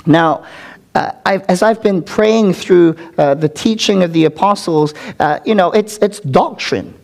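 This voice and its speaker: A man's voice: sits high, a little slow, gravelly, a little mellow. A man preaching a sermon to his congregation as its pastor, earnest, with call-and-response asides to the listeners.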